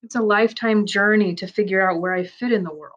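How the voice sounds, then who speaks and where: A woman reads a personal account aloud at a middle pitch, steady and measured. Her delivery is reflective and earnest, keeping an even course through the first-person telling. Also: muffled recording. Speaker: narrator reading a man's first-person story.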